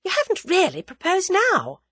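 Woman reader as a high, teasing young woman adventurer. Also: huge pitch swings, moderate pace, a bit bright, no room sound, heavily acted. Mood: gleeful. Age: young adult. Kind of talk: audiobook character dialogue.

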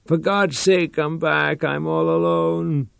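An actor playing a desperate man sliding into panic and madness, pleading and crying out in a hoarse voice. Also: a little high, steady tone, slow pace, a bit bright, dry recording, studio quality, full character voice. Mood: panicked. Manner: pleading, cries out, wavers into sing-song. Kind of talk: radio drama acting.

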